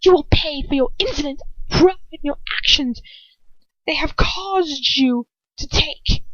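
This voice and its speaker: A venomous witch villainess, as a female reader puts her on, high and taunting, stretching her threats and rising into shrill shouts. The recording is close and dry but dull, like a homemade storybook reading. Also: measured pace, no room sound. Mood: menacing.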